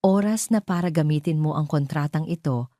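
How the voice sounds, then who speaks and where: A woman with a Filipino accent voicing a calm, professional woman mentor in an audio story, measured and instructive. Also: low voice, no echo, clean studio sound, lightly acted. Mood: firm, instructing.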